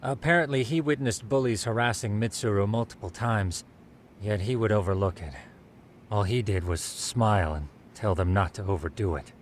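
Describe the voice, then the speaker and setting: An actor playing a precise, bookish researcher in an English game dub, speaking formally and methodically. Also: male voice, lowish voice, normal speed, slight background noise, lightly acted. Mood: matter-of-fact. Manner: formal, exact, explanatory. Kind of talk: English video game dub cutscene acting.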